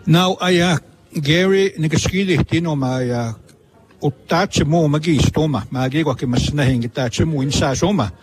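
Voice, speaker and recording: A man hosting an interview, asking questions in a measured, hesitant way. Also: a little high, husky. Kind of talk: casual interview talk.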